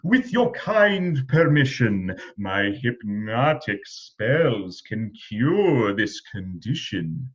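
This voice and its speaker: A man's voice: lowish voice, sing-song, drawn-out words, hardly any pauses, muffled recording, pitched below the performer's own voice, full character voice. A man voicing a showy, grandly polite magician in a storybook, with theatrical delivery.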